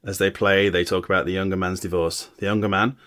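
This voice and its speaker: A man with a very low, deep voice leads a casual podcast chat, walking through a film's plot step by step. He drops dry, wry asides between the summary. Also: flat delivery, fast talker, fairly bright tone. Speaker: podcast host recapping a film. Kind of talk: casual film recap, scene by scene.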